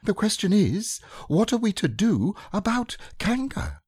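A man voicing a small, timid young male piglet who speaks in a high, hesitant, stammering way in an audiobook reading. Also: very animated, unhurried, darkish tone, breathy edge, no echo, clean studio sound, heavily acted.

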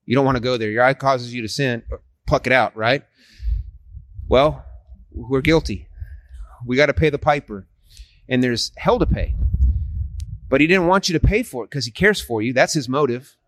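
A man's voice: mid-range voice, normal speed, long pauses, a bit bright, no echo, rough recording. Earnest man in his forties, dry sound. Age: 40s. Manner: earnest and persuasive, frequent restarts. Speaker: street evangelist. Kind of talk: casual street conversation.

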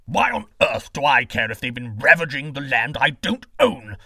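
A man voicing a pompous, dismissive king who speaks loudly and haughtily. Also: mid-range voice, big pitch swings, normal speed, gravelly, breathy edge, dry recording, studio quality, far from the mic, exaggerated voice.

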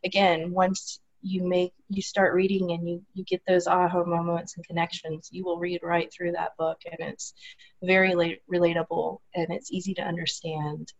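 A woman's voice: lowish voice, flat delivery, moderate pace, highs cut off. A woman guest talking earnestly and with enthusiasm, letting her sentences trail off, heard over a remote call. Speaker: guest, support group organizer. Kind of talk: casual interview chat.

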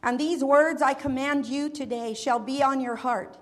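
A woman with a high voice delivers a church sermon in an earnest, measured way. She leads a prayer that keeps calling on God again and again, then moves into her teaching. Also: fairly even intonation. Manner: earnest, measured preaching with repeated invocations. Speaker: preacher. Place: church sanctuary.

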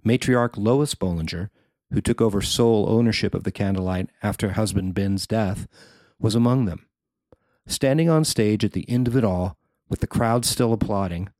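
A man's voice: on the low side, normal speed, darkish tone, no echo. A man reading his own written feature article aloud as scripted narration.

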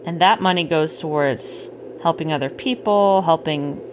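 A middle-aged woman chatting casually with a child at bedtime, gently explaining things and checking in with questions. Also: deep voice, normal speed. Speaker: parent hosting a bedtime story podcast. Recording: clearly noisy home recording.

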